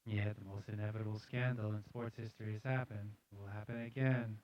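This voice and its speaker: A man's voice: on the low side, flat delivery, quick pace, muffled recording. A man hosting a live news show, casually reading articles aloud with jokey, slangy, sardonic commentary.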